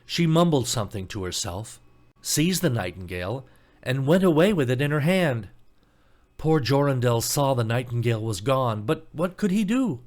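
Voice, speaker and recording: A man's voice: medium pitch, very animated, moderate pace, a little mellow. A man reading a scripted fairy tale as a storybook narrator, telling it expressively.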